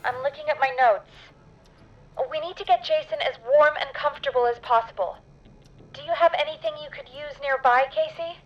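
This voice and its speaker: A woman playing a practical, concerned radio show colleague in a horror game, heard through a filtered line. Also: sits high. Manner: brisk, practical. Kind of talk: dramatic horror game voice acting. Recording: dull, filtered line with music.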